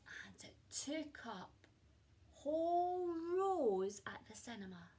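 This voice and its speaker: A woman reading a children's picture book aloud in an expressive storytelling way, as a teacher speaking to young listeners. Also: high voice, slow pace, slightly rough.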